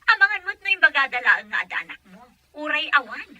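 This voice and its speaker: A woman's voice: high voice, expressive, fast, sharp tone, heavily acted. A woman playing a prying, goading telephone caller in an audio drama, with a needling manner. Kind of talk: amateur audio drama acting.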